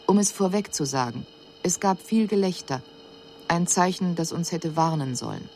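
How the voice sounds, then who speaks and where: An alien envoy reporting on humankind in a radio drama. The voice is fairly low, with sober, precise and formal diction and an analytical detachment. The recording has a slight hiss. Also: female voice, lively intonation, normal speed, darkish tone. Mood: detached, sober. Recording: faint hiss on 1980s radio production.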